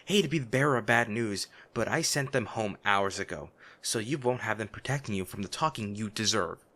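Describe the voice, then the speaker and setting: A man playing a noble lady's gruff, protective bodyguard, blunt and emotional, in a dramatic fantasy audio roleplay. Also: on the low side, expressive, a little fast, bright, forceful tone. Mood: angry, scolding. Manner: exasperated, emotional, blunt, with sighs. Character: gruff, protective male bodyguard of a noble lady, scolding yet anxious.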